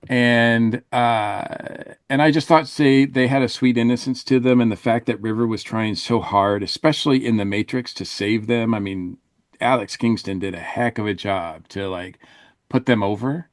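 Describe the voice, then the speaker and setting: A man's voice: medium pitch, dry recording. Male podcast panelist, mid-range voice, dry sound; casual, enthusiastic, rambling reviewer.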